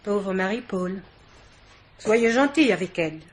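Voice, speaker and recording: An actress playing a dignified, guarded middle-aged guardian aunt in a French radio mystery play, speaking with polite haughtiness. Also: female voice, mid-range voice, expressive, unhurried, slightly rough. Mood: tender, protective. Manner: polite, haughty, carefully articulated indignation.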